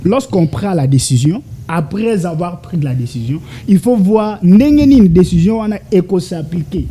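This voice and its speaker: Congolese male analyst, high and dark-toned, insistent and rhetorical. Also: animated, normal speed, a little hiss or hum. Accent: Congolese French. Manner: insistent, rhetorical questions, repeated stammered syllables. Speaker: political analyst guest. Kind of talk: interview answers, mixing French and Lingala.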